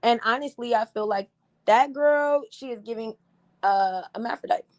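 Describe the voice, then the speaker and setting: A woman with a slightly raspy voice hosting a casual livestream, giving blunt, animated commentary with frequent laughs. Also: fairly high pitch, normal speed. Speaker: livestream host.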